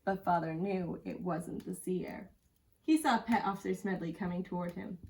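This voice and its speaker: A woman's voice: lowish voice, moderate pace, gravelly, darkish tone. A woman reading a children's picture book aloud as a storyteller, recorded at a distance from the microphone. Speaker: school storyteller.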